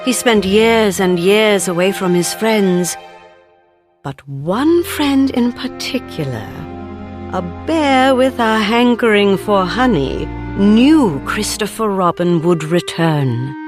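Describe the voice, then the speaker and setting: A woman's voice: medium pitch, lively intonation, takes it slowly, over music. A woman narrating a children's story, reading expressively from a script as a storybook narrator.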